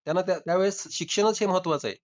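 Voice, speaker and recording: Fast-talking insistent man on muffled call. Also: high-pitched. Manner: insistent, argumentative, repeating himself. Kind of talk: informal debate. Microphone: recorded phone call. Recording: dull lo-fi call recording.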